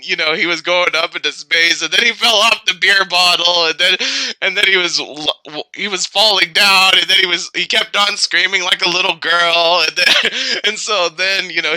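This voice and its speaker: A man telling an improvised, rambling children's-style story in character, repetitive and excitable. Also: high voice, no echo, poor audio. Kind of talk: improvised spoken storytelling in character as a magical bear.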